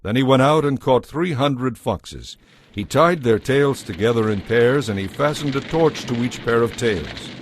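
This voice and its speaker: A man narrating a dramatized scripture audiobook in a measured, solemn storytelling manner. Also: medium pitch, a little hiss or hum.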